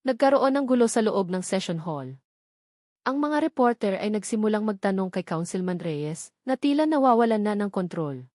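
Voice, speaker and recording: A woman reading scripted audio drama narration in a measured, earnest storytelling manner. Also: medium pitch, lively intonation, darkish tone, no echo, clean studio sound.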